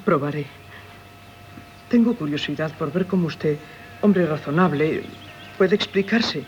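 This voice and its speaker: A woman's voice: low voice, unhurried, slight background noise. An actress playing a gracious, restless married lady in a Spanish radio play, refined and eagerly curious, with a Castilian accent. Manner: theatrical, refined, eager questioning. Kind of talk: 1970s Spanish radio theatre acting. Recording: muffled 1970s radio recording.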